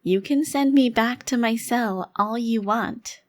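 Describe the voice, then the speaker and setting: Woman playing a slow-spoken, haughty child-bodied ancient vampire. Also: a little high, darkish tone. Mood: grim, defiant. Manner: formal, deliberate, haughty. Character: centuries-old vampire crime lord in a child's body, haughty. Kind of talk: ASMR roleplay monologue.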